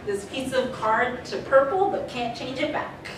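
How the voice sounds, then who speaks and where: A woman's voice: high voice, unhurried. A woman hosting a convention panel, speaking casually and off the cuff. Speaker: panel host. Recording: echoey distant hall with crowd noise.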